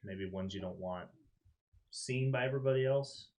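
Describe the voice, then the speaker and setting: A man playing a tabletop roleplaying game, speaking casually both in character and in table chat, low-key and conversational. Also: normal speed, darkish tone.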